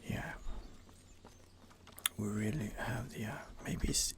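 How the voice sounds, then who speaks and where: Male ASMR gamer, low whispered delivery with gravelly creak, unhurried thinking-aloud commentary on a close mic. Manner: soft, rambling, thinking aloud. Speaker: streamer playing a roleplay game. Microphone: close microphone.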